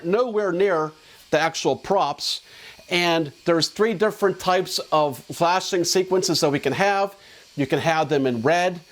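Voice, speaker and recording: A man presenting drone equipment in a casual, conversational, explanatory product demo. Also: a little high.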